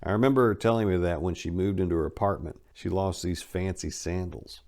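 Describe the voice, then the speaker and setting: Low-pitched man narrating a story. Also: fairly quick, a little mellow. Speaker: narrator reading a book. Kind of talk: dramatic first-person audiobook narration.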